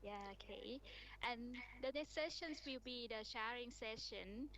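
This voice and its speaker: A woman with a somewhat high voice moderates a conference session, introducing speakers one by one. Her speech is halting and full of fillers. A faint hum sits behind her voice. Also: fairly bright tone, low-quality recording. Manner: halting, many fillers, reads titles.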